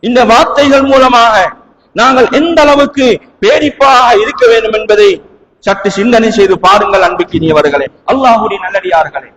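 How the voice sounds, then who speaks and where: High-pitched man preaching in a reverberant hall. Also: moderate pace, fairly bright tone. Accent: Sri Lankan Tamil. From Sri Lanka. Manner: emphatic, with rhetorical questions and Arabic recitation. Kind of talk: sermon. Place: mosque hall.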